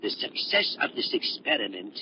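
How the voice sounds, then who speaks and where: Volatile, embittered mad scientist. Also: male voice, on the low side, sing-song, moderate pace. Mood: sly, eager. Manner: theatrical, volatile, swinging from soothing to ranting. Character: embittered paranoid older male scientist, falsely kindly. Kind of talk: 1930s radio melodrama acting. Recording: muffled, lightly noisy 1930s radio recording.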